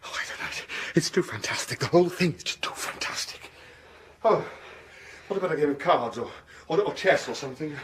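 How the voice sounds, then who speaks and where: An actor playing a terrified British gentleman in a radio horror drama, agitated and stammering. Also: fairly high pitch, animated, fairly quick, natural voice. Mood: fearful, agitated. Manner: agitated, stammering, broken repetitions. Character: terrified, haunted gentleman pursued by a supernatural evil. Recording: noisy vintage radio drama broadcast.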